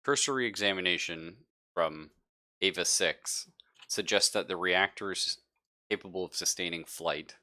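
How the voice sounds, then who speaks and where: Low, bright-voiced man running a tabletop game. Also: moderate pace. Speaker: game master. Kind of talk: improvised narration of a tabletop roleplaying game.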